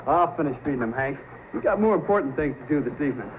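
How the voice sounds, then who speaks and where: An actor playing a gentle, earnest young male dog handler in an old-time radio drama. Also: a little high, lively intonation, quick pace, noisy background, natural voice. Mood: eager. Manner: coaxing, soothing, earnest. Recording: tinny old radio broadcast with loud music.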